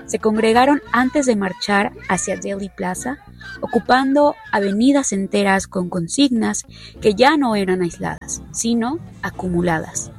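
Woman, news narrator, measured scripted delivery, faint music underneath. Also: medium pitch.

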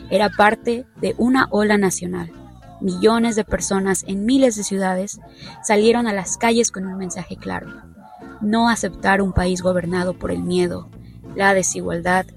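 Woman, news narrator, measured scripted delivery, faint music underneath. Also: mid-range voice, steady tone, creaky.

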